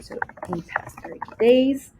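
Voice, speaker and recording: A woman's voice: high voice, a little slow. Woman giving a tutorial over background noise. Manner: explains step by step in simple terms, addressing the viewer. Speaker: software tutorial presenter. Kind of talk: casual screen-share tutorial.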